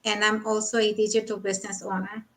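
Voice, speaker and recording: A woman's voice: mid-range voice, level tone, fast talker, a bit bright. A woman from Mexico, a co-host introducing herself and asking a guest questions.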